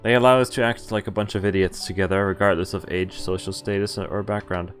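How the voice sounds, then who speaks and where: A man's voice: sits low, steady tone, a little fast. Young male streamer reading over game music. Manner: casual, sweary, amused reactions. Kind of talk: reading visual novel text aloud with casual commentary.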